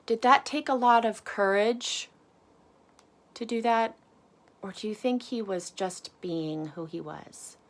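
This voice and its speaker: Slow, expressive woman reading to children. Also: mid-range voice. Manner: deliberate, dramatic emphasis, asks listeners questions. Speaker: teacher reading to pupils. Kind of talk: expressive picture-book read-aloud for children.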